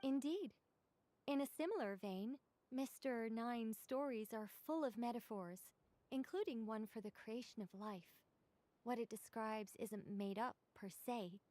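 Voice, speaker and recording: A woman's voice: fairly high pitch, measured pace, dark tone, no echo, lightly acted. A woman voicing a composed, witty woman author in a video game, speaking articulately with a conversational, lightly teasing manner. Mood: playful, explanatory. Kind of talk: scripted game dialogue.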